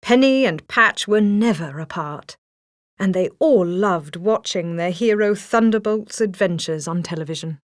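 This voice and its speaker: A woman's voice: medium pitch, a little slow, no room sound, clean studio sound. A woman reading a scripted children's story as a storybook narrator.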